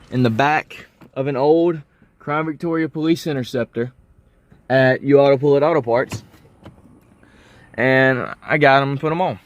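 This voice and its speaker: A young man chats informally to his viewers as a car vlogger. His voice sits at an average pitch, and the sound is dry, as if inside a parked car. He rambles along in a folksy, unscripted way as he explains things. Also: dry recording, lo-fi sound. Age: young adult. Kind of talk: casual unscripted vlog.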